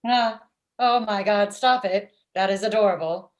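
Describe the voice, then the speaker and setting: A woman's voice: mid-range voice, a little slow, close to an everyday voice. Blunt lovestruck robot played by a woman, mid-range voice, stilted machine-like delivery, sitcom script read on video call. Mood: flustered. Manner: stilted, uncontracted, machine-like phrasing. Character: blunt, lovestruck robot matchmaker with literal speech. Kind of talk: table read of comedy script.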